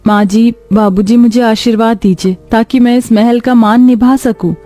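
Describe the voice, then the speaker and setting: A woman voicing a gentle, humble, grateful young bride in a cartoon story, soft-spoken and reverent. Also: a little high, steady tone, normal speed, faint music behind, lightly acted. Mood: reverent gratitude. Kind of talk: Hindi cartoon story dialogue.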